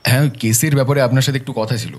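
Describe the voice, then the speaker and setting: An actor playing a serious, determined detective in an audio drama, speaking in a deliberate way. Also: male voice, mid-range voice, fairly even intonation, noisy background, lightly acted. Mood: businesslike. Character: serious, determined male private detective, methodical and businesslike.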